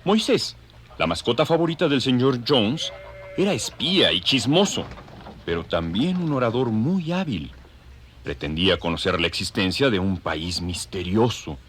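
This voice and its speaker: Male narrator, scripted dramatic storytelling; low, lively intonation, faint background noise. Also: moderate pace.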